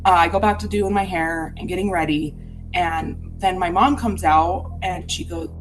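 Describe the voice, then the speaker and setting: A woman's voice: lowish voice, moderate pace, noisy background. A woman from Utah telling a personal ghost story in an earnest, candid, reflective way.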